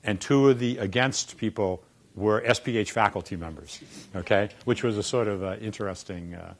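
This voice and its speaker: A man in his sixties from Boston, with a Boston accent, telling wry stories in a relaxed lunchtime talk in a lecture room. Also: lowish voice, normal speed. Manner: wry storyteller, quoting people with punchlines. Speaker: former dean giving a talk. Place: dark lecture room in front of chalkboards.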